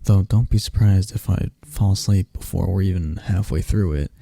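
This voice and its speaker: A man voicing a shy, affectionate best friend in an ASMR roleplay, speaking softly and stammering with nerves. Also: low-pitched, moderate pace, creaky, no room sound, studio quality. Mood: playful. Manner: soft-spoken, stammering, gentle.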